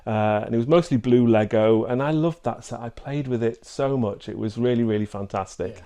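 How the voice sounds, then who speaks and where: A man in his fifties with a soft-edged, mellow voice and a North East English accent. He chats casually in an interview, looking back over his life at an ordinary male pitch. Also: fairly quick. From Newcastle upon Tyne, England. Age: 50s. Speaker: interview guest, YouTuber.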